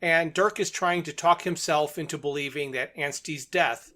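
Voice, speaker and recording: Male podcast co-host, slightly high, bright and forceful voice, casual storytelling recap with a joking touch. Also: normal speed.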